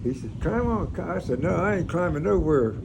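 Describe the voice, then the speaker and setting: Older male, gravelly, plain-spoken storyteller, informal, noisy recording. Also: on the high side, animated, normal speed. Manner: folksy, plain-spoken, rambling. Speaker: grandfather recounting his military past. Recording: noisy room, clear background noise.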